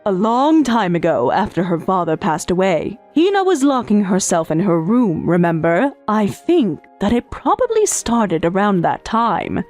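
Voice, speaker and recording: A woman's voice: mid-range voice, sing-song, measured pace, hardly any pauses, a little mellow, faint music behind. A woman playing a caring, observant working mother in an anime-style romantic comedy dub. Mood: gentle, knowing.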